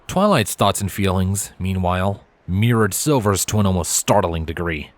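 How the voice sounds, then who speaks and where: A man's voice: low-pitched, lively intonation, normal speed, no room sound. A man reading a scripted story aloud as its narrator. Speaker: fan fiction narrator. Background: background music.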